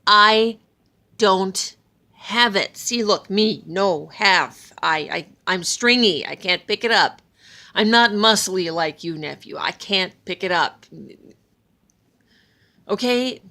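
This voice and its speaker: Male trickster god in a told myth, stammering and defensive, gravelly, read by a woman. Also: medium pitch, expressive, measured pace, a bit bright. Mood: exasperated.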